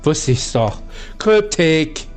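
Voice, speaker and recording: A man's voice: a little high, big pitch swings, takes it slowly, faint music behind. A man doing let's play commentary, reading out game dialogue and chatting as he plays. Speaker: gaming streamer playing and reading dialogue.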